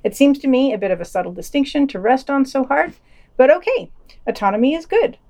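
A woman's voice: high voice, lively intonation, a little fast, warm tone. A woman hosting a podcast, reading a scripted news digest with quotes and commentary in a dry, wry manner.

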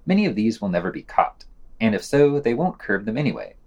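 Man with very animated, sardonic news reading. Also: fairly low pitch, fairly quick, highs cut off. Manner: sardonic, wry asides. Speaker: podcast news reader. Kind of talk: scripted news summary reading.

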